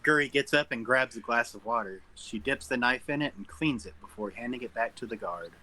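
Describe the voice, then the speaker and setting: A man's voice: mid-range voice, fairly quick, sharp tone, low-quality recording. A man reading stage directions as the narrator of a scripted table read.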